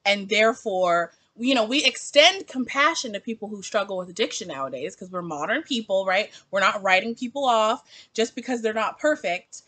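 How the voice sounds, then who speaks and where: A woman's voice: medium pitch, normal speed, hardly any pauses. A woman in her twenties talking emphatically in a casual opinion vlog, with lots of "like" as she works through her thoughts. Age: 20s. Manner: animated, emphatic, heavy use of "like". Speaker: book vlogger.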